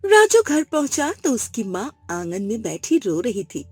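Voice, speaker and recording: A woman's voice: a little high, very animated, unhurried, slightly rough, no echo, soft background music. A woman narrating a scripted children's moral story as its storyteller.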